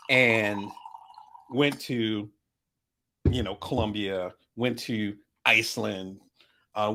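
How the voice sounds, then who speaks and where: A middle-aged man hosting a casual vlog, chatty and animated, full of asides and shout-outs to his viewers. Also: medium pitch. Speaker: vlog host. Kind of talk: casual talk to camera about products.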